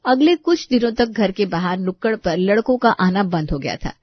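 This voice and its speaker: A woman's voice: mid-range voice, expressive, normal speed, dry recording, muffled recording. A woman reading a scripted story as its narrator.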